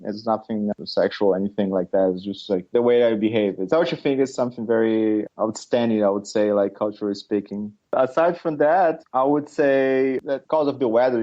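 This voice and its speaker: A middle-aged Brazilian man chatting as a podcast guest, his English full of fillers, restarts and small laughs. His voice is dark and mellow. The recording sounds dull and lo-fi. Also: medium pitch. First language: Portuguese. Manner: halting, many fillers and restarts, laughs often. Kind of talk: casual interview chat.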